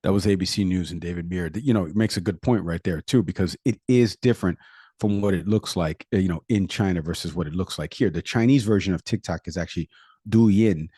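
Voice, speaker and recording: A Puerto Rican man co-hosting a podcast, his voice low and mellow. He talks casually, piling up figures between "uh"s and "you know"s. The sound is close and dry. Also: a little fast, slightly rough, dry recording. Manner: conversational, rattles off statistics with frequent fillers. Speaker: podcast co-host; product manager.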